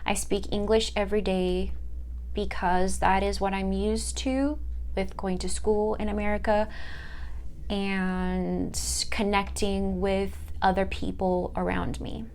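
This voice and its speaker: A young woman whose first language is Italian, giving a reflective personal monologue for a class, halting often to search for words. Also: mid-range voice, flat delivery, darkish tone, a little hiss or hum. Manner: halting, searching for words with many fillers and restarts. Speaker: student.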